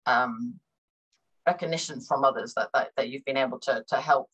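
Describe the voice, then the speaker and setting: Low-voiced middle-aged woman, hesitant. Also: moderate pace, fairly bright tone, husky, muffled recording. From Hong Kong. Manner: thoughtful, many fillers and repeated words. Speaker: business consultant, guest. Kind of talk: conversational interview.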